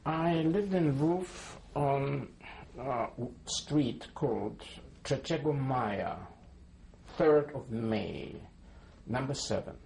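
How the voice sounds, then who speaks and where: A man's voice: mid-range voice, expressive, slow pace, vocal fry, darkish tone, slight background noise. A man in his seventies, born in Poland, giving a careful oral history testimony and spelling names letter by letter. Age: 70s. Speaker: interviewee.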